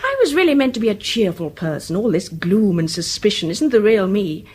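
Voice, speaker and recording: A woman's voice: medium pitch, expressive, brisk, darkish tone, husky. An actress playing a disillusioned, dryly witty English woman in a British radio drama, subdued and weary. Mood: wry, wistful. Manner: subdued, wry.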